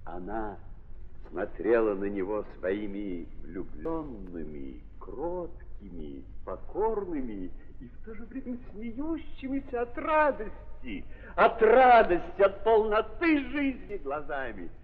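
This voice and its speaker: A man narrating a 1930s audio drama in an emphatic, declamatory theatrical style, with repeated phrases and pauses, on an old recording. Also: a little high, sing-song. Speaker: narrator of a staged literary drama. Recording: echoey, noisy, muffled 1930s audio play.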